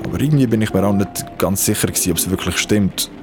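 A man's voice: on the low side, fast, close to an everyday voice. An actor playing a shaken young man with Swiss German speech, confiding his mountain ordeal in slangy radio play narration. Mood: confiding, uneasy. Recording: loud music behind the voice. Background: music.

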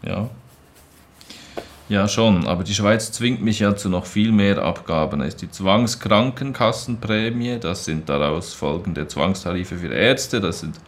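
A man reading formal complaint letters aloud in an emphatic, insistent manner. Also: on the low side.

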